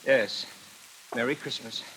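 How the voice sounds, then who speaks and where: An actor playing an eager, flattering theatre producer in effusive 1940s radio style. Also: male voice, mid-range voice, takes it slowly, poor audio. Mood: placating. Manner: effusive, pleading. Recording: vintage radio recording with faint hiss.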